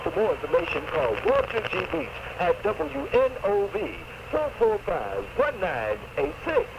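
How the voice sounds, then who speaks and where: Exuberant high-pitched male radio announcer, noisy signal. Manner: exuberant, emphatic. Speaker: local radio station announcer. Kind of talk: excitable 1970s radio promo patter. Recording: dull noisy 1970s AM radio cassette.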